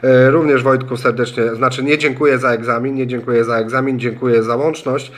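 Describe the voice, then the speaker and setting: A man's voice: medium pitch, little pitch movement, fairly quick. Friendly, hesitant man in a radio club. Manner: hesitant, friendly, spells call signs. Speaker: ham radio student operator. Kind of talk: amateur radio contact. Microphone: phone recording in the room.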